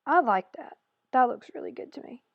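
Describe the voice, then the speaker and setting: A woman with a hoarse, raspy voice chatting through a makeup tutorial voice-over, rambling and correcting herself as she goes. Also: sits high, expressive, brisk, no room sound, narrow, tinny audio. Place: voice-over recorded separately from the video.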